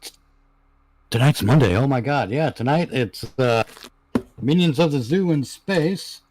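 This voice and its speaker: A man chatting informally and haltingly as a panel member on a live stream. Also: mid-range voice, animated, a little mellow. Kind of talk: casual live stream chat.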